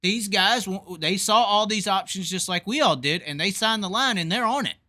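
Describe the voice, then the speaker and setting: Guy hosting a racing call-in show, high lively voice, super bright, keeps hammering his point. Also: normal speed. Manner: animated, repeats himself, fired up and emphatic. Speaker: podcast host. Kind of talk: casual opinionated call-in chat.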